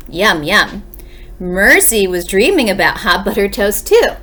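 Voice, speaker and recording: Expressive woman reading a children's story. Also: mid-range voice. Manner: dramatic pauses, addresses young listeners directly. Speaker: second-grade teacher reading aloud.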